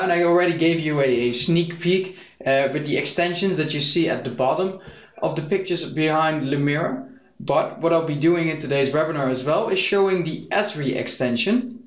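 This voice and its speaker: A young man with a Dutch accent, presenting a software webinar in an explanatory way with frequent fillers. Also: on the high side. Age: young adult. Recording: echoey muffled lo-fi audio.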